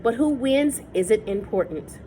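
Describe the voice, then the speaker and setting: A woman's voice: fairly high pitch, animated, measured pace, dark tone, slight background noise, low-quality recording. A woman voicing a confident teenage girl activist who speaks in a matter-of-fact, earnest way. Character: confident teen girl activist, self-assured.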